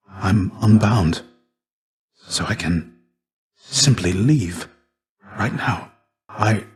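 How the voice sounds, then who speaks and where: A deep male voice for a video game character: a fallen Greek warrior, stoic and weary. He speaks low, in measured, formal phrases. There is a restrained, gentle gravity to him, like a fatherly mentor. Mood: stunned, grateful.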